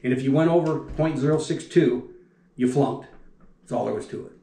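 Older man, retired welder, folksy rambling storytelling, mid-pitched voice, trailing off softly, dining room, echoey room sound. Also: moderate pace, vocal fry. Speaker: retired factory welder telling stories. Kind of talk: casual storytelling. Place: dining room at a wooden table. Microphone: camera across the table.

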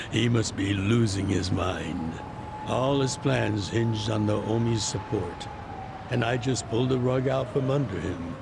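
Weary old former mob boss, gravelly, slow and low, game cutscene over city noise. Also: male voice. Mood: calm, reflective. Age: older. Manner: slow, measured, reflective. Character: older retired male yakuza boss, weary and fatherly. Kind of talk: video game cutscene dub.

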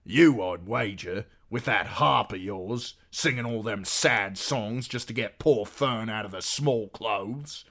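Man playing grumbling innkeeper husband, raised forceful voice. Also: fairly low pitch, takes it slowly, hardly any pauses, voice pushed up, full character voice. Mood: defensive, accusing. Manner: aggrieved, folksy ungrammatical speech. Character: harried, grumbling innkeeper husband, defensive and rustic.

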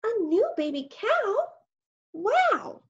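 A chattering barnyard hen who lives for gossip, as a woman reads her out, her voice pitched way up and leaping around. The recording is dull and lo-fi. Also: very animated, very slow, full character voice. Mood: amazed. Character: gossiping excited farm hen.

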